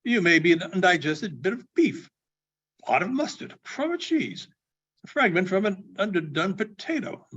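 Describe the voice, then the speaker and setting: A man voicing a skeptical old miser with a sharp, emphatic manner in a dramatic storybook reading. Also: high voice, very animated, normal speed, breathy edge, heavily acted. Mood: skeptical, mocking. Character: caustic, skeptical old miser turning fearful. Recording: dull, muffled sound.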